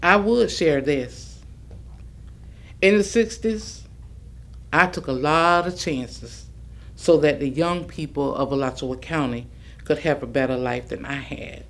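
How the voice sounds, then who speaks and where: An actress playing a steadfast older civil rights veteran, plainspoken and deliberate, in a staged reading with a creaky voice. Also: female voice, low voice. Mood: reflective, resolute. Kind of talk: staged reading of oral history testimony.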